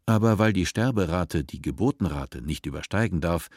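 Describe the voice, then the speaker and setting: Deep-voiced male narrator, calm. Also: slightly rough, no echo, studio quality. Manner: calm, measured storytelling. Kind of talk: scripted narration.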